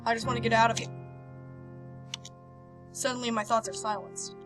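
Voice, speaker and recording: A woman hosting a game playthrough, reading visual novel text aloud with animated, casual reactions and stumbling over words now and then. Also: on the high side, normal speed. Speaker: host of a video game playthrough. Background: game background music.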